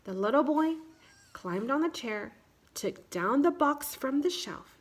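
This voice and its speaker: A woman narrating a children's picture book aloud in measured, simple phrases. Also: high voice, animated. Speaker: children's read-aloud storyteller.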